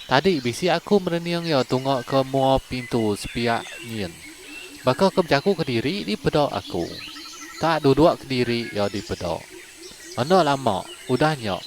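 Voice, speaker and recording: A man's voice: mid-range voice, animated, normal speed, background noise. A man telling a long folk story in a conversational way as a channel storyteller, then asking listeners to like and subscribe. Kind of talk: told folk story in Iban.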